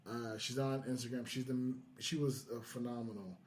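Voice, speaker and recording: A man's voice: mid-range voice, fairly even intonation, normal speed, a little mellow, a little hiss or hum. A man chatting casually as a podcast host, speaking earnestly and with enthusiasm.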